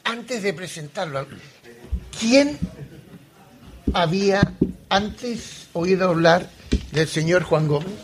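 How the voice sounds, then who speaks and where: A man's voice: sits high, lively intonation, takes it slowly, long pauses. An older man presenting informally to an audience at a live event, often repeating words and phrases as he goes.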